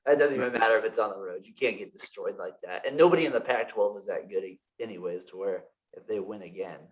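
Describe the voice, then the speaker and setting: A man's voice: fairly low pitch, fast talker. A man hosting a casual sports podcast, rambling with fillers and restarts. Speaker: podcast host.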